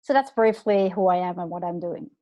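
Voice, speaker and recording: A woman's voice: fairly low pitch, a little fast, dark tone, dry recording. A woman from the Netherlands introducing herself as a founder on a video call, fluent with small fillers. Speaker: panelist, founder. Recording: dull dry call audio.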